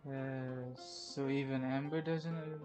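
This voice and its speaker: Very low-voiced, slow gaming commentator. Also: female voice, fairly even intonation, warm tone, faint music behind. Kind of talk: casual gameplay commentary.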